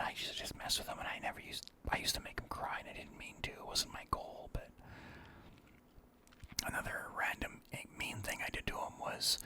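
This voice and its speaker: A man telling rambling personal anecdotes in a soft ASMR whisper. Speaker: storyteller.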